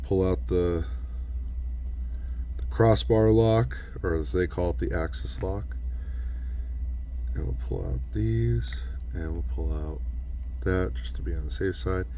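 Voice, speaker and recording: A young man with a hoarse voice from losing it, casually walking through a hands-on tutorial in a relaxed, rambling way. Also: sits low, slow pace, long pauses, a little hiss or hum. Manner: relaxed, rambling, self-correcting. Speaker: knife modding channel host.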